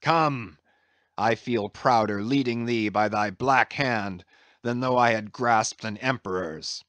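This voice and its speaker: A man's voice: mid-range voice, takes it slowly, fairly bright tone, dry recording. A man playing a brooding, half-mad old whaling captain in a dramatised sea story, commanding and declaiming in grand archaic speech. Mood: grand, impassioned. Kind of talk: dramatised classic sea novel reading.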